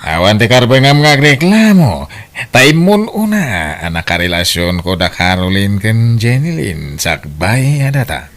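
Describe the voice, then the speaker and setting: A man's voice: lowish voice, big pitch swings, unhurried, a bit bright. A man playing a blunt, commanding businessman who keeps several mistresses, in an Ilocano radio drama. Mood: blunt, unbothered. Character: well-off businessman keeping several mistresses, domineering.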